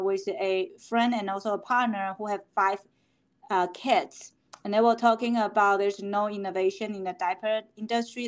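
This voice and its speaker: A middle-aged woman from Taiwan, answering interview questions as a company founder, halting with many fillers and restarts. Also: mid-range voice.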